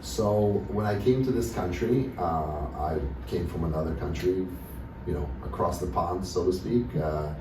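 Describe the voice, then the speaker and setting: A man's voice: low-pitched, measured pace. A man from Russia who works as a dentist, answering interview questions casually with many fillers and restarts. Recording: echoey room, mic at a distance, background noise.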